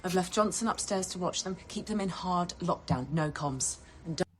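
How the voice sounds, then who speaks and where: An actress playing a brisk, commanding security agent in a TV drama, in a noisy played clip. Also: female voice, lowish voice, close to an everyday voice. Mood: urgent, curt.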